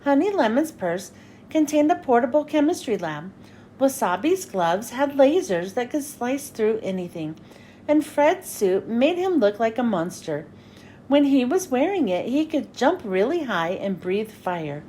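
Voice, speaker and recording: A woman reading a children's story aloud in an expressive, dramatic storytelling style. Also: fairly high pitch, a little slow, a little hiss or hum. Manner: expressive, dramatic with character lines. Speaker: children's book reader. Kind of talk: animated read-aloud storytelling for kids.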